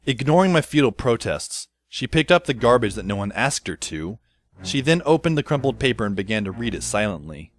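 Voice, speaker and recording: A man's voice: mid-range voice, very animated, fairly quick, lightly acted. A man playing an exasperated, sardonic teenage schoolboy who narrates an audio drama in a wry, self-questioning, conversational way. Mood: musing. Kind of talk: first-person audio drama narration and dialogue. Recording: music behind the voice. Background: background music.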